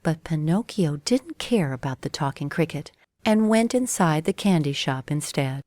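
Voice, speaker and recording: Woman narrating children's stories, very lively intonation. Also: low voice, moderate pace, dry recording. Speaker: storyteller. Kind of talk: scripted children's story narration.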